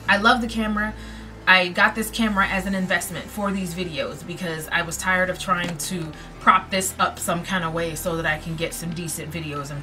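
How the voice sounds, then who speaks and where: A woman in her thirties chats to camera from a dim bedroom, projecting her voice easily. She is picked up by the camera's own mic from a little way off. Music plays clearly in the background. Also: lowish voice, bright, forceful tone, far from the mic. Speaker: vlogger. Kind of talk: casual vlog to camera. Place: dim bedroom with string lights. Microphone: built-in camera mic.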